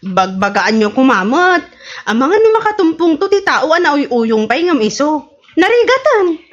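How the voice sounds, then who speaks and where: A woman's voice: sits high, sing-song, moderate pace, highs cut off. A woman playing an exasperated, scolding village neighbour in an Ilocano radio comedy drama, speaking with an Ilocano accent. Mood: complaining. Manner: scolding, complaining.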